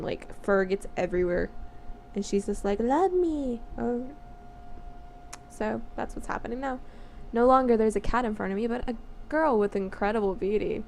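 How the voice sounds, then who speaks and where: A woman's voice: medium pitch, gravelly, a little mellow. A woman of nineteen reading a visual novel script aloud, playful and chatty with casual asides. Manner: giggly, playful, easily sidetracked. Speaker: visual novel let's player. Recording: noisy home recording.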